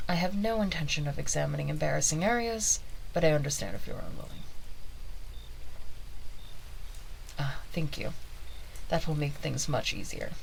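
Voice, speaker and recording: A woman playing a quirky, scholarly plague doctor in an ASMR examination roleplay, speaking softly in formal, polite, wordy phrases. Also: low-pitched, gravelly, a little hiss or hum, poor audio. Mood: polite, clinical.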